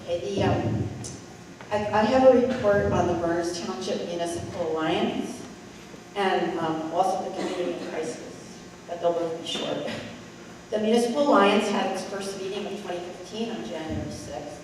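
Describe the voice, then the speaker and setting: A woman's voice: lowish voice, normal speed. A woman giving a liaison report at a school board meeting in a plain, matter-of-fact way. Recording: echoey room, distant mic, noise.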